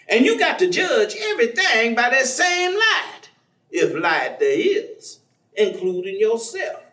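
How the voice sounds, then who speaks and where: An actor playing a warm, devout, folksy man, teasing and preaching in a conversational staged reading. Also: very high voice, heavily acted. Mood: preaching, earnest. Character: warm, devout, folksy man, witty self-styled outlaw believer. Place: theatre stage. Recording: echoey stage recording from far off.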